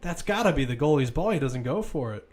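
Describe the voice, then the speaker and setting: A man's voice: fairly high pitch, lively intonation, brisk, darkish tone. A man commentating live on a football match, mixing play-by-play calls with informal, conversational analysis.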